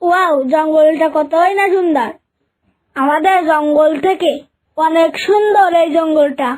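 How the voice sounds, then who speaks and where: A woman's voice: extremely high pitch, unhurried, darkish tone. A woman voicing an eager young dinosaur boy in a children's cartoon. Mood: excited, pleading.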